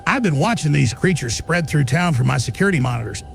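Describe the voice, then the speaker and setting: A paranoid survivalist radio ranter, acted by a man in an audio drama. His voice sits high for a man and rasps with gravel. He is urgent, fervent and insistent, as if warning listeners from a bunker. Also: lively intonation, fast, faint music behind. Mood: grimly insistent. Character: paranoid male conspiracy broadcaster in a bunker. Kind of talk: audio drama monologue as pirate radio broadcast.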